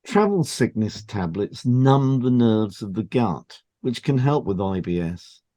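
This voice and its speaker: A man's voice: lowish voice, very animated, a little slow, mellow, no echo. Man presenting an educational podcast, dry sound. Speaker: podcast co-host and hypnotherapist. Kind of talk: scripted educational narration.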